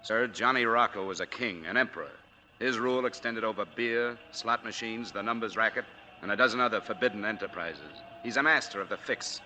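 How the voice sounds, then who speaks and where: Low-voiced, sardonic young soldier. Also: male voice, pushed and bright. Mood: sardonic, grandiose. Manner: measured, ironic. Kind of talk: 1940s radio acting. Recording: noisy vintage 1940s radio recording.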